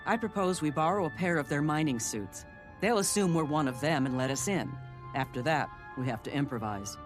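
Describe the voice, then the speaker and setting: A male actor plays a self-assured fantasy spy. The voice is slightly high for a man, with a wry, confident, smooth-talking delivery suited to a video game. Music is clearly audible underneath. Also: brisk, natural voice. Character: sly, wry, self-assured secret agent.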